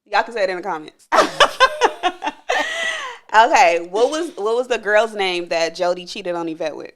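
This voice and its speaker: A woman's voice: mid-range voice, moderate pace, a little hiss or hum. A thirty-year-old woman hosting a podcast, chatting frankly with frequent laughter and swearing. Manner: frank, giggly, swears freely. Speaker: podcast host. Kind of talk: casual chat with drinking game.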